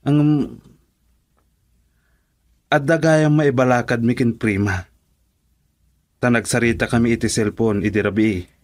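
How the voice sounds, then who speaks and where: An actor with an Ilocano accent playing a friend who gives steady, persuasive advice in a radio drama. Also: male voice, mid-range voice, unhurried, long pauses, no echo, studio quality, natural voice. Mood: earnest. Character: friend giving advice, earnest man.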